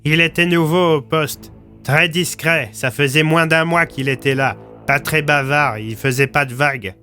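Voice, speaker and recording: A man's voice: fairly high pitch, normal speed, sharp tone, soft background music, pitched above the performer's own voice. A man playing a blunt, slangy small-town police commissioner recounting his survival in an audio story. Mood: blunt, matter-of-fact.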